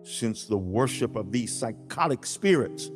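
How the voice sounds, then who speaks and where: A man playing God in a dramatized audiobook, speaking directly to humankind in a grave, fatherly, deliberate way with emphatic enunciation. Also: medium pitch, animated, music in the background, lightly acted. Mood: grave, lamenting.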